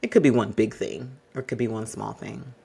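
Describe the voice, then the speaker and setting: A man hosting an advice podcast, speaking to the listener in a polished, exacting and fairly monotone way. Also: fairly low pitch, creaky, breathy edge. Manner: measured, exacting, addresses listener directly. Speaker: podcast host.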